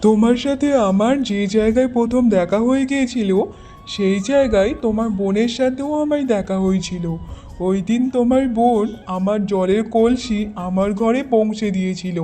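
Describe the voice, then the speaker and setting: A woman voicing a gentle, patient old male hermit in a fairy-tale cartoon, speaking softly and moralising like a father. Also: fairly high pitch, moderate pace, close to an everyday voice. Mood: kindly.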